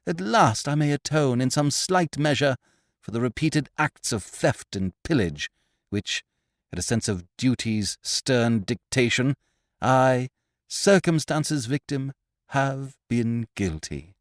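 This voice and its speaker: A man's voice: medium pitch, lively intonation, takes it slowly, no echo, clean studio sound. A man voicing a pompous, melodramatic young hero who declaims in a grand manner. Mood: grandiose.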